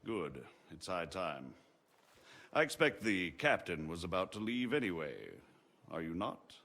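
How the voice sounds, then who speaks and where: An actor playing a shrewd, haughty margrave who speaks in formal, measured, eloquent phrases in a video game drama. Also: male voice, sits low, sing-song, pushed and bright, heavily acted. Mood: stern warning. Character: shrewd, haughty noble margrave, smooth political schemer.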